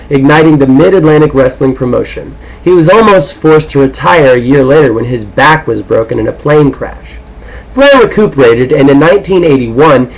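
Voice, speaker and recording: A man's voice: a little high, low-quality recording. A man with an irreverent, foul-mouthed manner, talking casually and reading aloud as an amateur audiobook reader.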